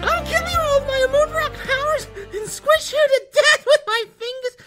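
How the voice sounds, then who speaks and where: A man's voice: very high-pitched, steady tone, moderate pace, sharp tone, soft background music. A man voicing a gleefully murderous little cartoon creature in a manic, giggling way. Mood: manic menace.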